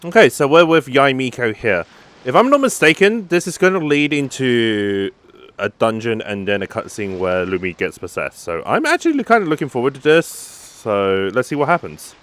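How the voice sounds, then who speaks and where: Man giving lively casual game commentary. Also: mid-range voice, normal speed. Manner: echoes and reacts to game dialogue. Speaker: gaming content creator. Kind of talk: casual let's-play commentary. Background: game music.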